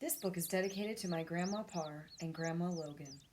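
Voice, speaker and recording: A woman's voice: on the low side, fairly quick, a little mellow, slight background noise. A woman hosting a children's storytime, reading a picture book aloud in simple narrated lines. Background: music.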